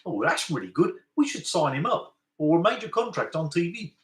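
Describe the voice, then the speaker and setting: A man doing a keen male talent scout with a thick New Zealand bogan twang, bright and forceful in tone. The voice rings a little in an echoey room. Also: fairly high pitch, sing-song, fairly quick, far from the mic, voice pushed up. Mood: enthusiastic. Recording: roomy echo.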